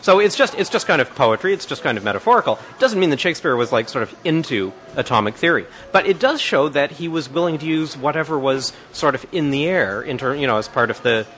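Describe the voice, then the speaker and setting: A man's voice: fairly high pitch, expressive, fast talker, hardly any pauses, fairly bright tone, a little hiss or hum. A man answering as a guest expert, explaining in a thinking-aloud way with many restarts and fillers.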